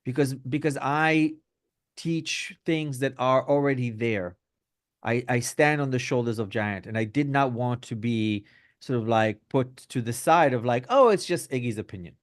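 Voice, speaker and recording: A middle-aged man from Belgium and Israel speaks as a guest rabbi in an interview, at an everyday pitch. He hedges constantly with fillers and tag questions as he explains himself. The recording is dry and close. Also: normal speed, dry recording. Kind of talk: conversational interview answers.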